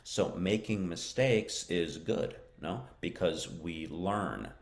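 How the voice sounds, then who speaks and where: An American man teaching English in a live-stream lesson, answering viewer questions in a slow, encouraging way and repeating key phrases. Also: low voice, vocal fry, a little hiss or hum. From United States.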